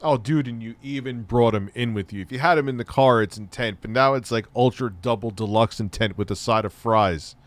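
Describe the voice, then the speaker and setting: A man's voice: medium pitch, fairly quick, few pauses, slight background noise. A man hosting a livestream, giving crude, mocking commentary over a video and laughing often. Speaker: livestream host reacting to videos.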